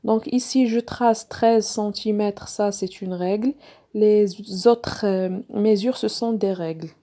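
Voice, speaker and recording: A woman's voice: medium pitch, slow, dark tone. A woman who is not a native French speaker, giving a step-by-step sewing tutorial in a hesitant way, with many fillers and restarts. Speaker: sewing instructor.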